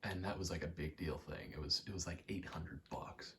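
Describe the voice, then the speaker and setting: A young man in a casual, halting ASMR ramble, speaking softly and often slipping toward a whisper, with a self-deprecating, sweary manner. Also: low-pitched, slight background noise. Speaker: ASMR creator. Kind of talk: rambling ASMR, casual personal chat while gaming.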